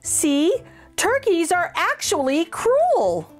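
A woman's voice: extremely high pitch, huge pitch swings, slow, soft background music. A middle-aged woman hosting a channel, reading a children's picture book aloud in an exaggerated, sing-song storytelling voice.

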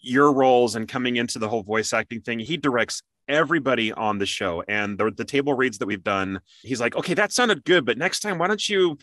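A man hosts a casual interview in a bright, forward voice. He is chatty and conversational, and the sound is close and dry. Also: mid-range voice, animated, fairly quick, few pauses, no echo. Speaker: interview host and amateur voice actor.

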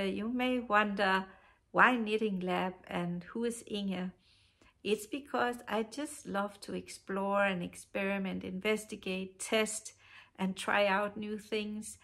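A middle-aged woman with a Danish accent, hosting her knitting podcast from a sofa at home, talking slowly with frequent pauses and fillers. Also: medium pitch, fairly bright tone. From Denmark. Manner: slow, thoughtful, many pauses and filler sounds. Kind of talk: casual chat to camera. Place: living room, seated on a sofa.

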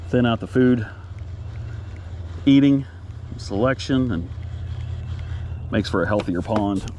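Slow-talking folksy American man outdoors. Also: on the low side, warm tone. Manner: folksy, unhurried, talking to viewers while fishing. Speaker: outdoor vlogger, angler. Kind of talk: casual fishing vlog chat. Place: outdoors in a kayak on a pond. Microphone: action camera at chest or head. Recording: outdoor noise on action camera.

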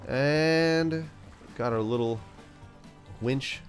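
A man hosting a gaming channel, chatting playfully through a let's-play, stuffed up from a cold and now and then yelling out excited bursts. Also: medium pitch, drawn-out words, soft background music. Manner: playful, talks to himself, yells bursts like "send it". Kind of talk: casual let's-play commentary.

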